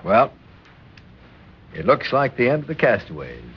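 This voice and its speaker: A man's voice: mid-range voice, huge pitch swings, a little slow, slightly rough, heavily acted. An actor playing a loyal, respectful and practical merchant seaman in an old-time radio drama. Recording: dull, very noisy vintage radio recording.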